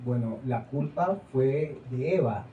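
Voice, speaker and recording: A man's voice: mid-range voice, lively intonation, takes it slowly, muffled recording. A man acting in a live stage play, stubbornly brushing off the questions put to him. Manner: stubborn, dismissive. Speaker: stage actor. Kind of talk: live theater performance. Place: small indoor theater. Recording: roomy, distant stage recording.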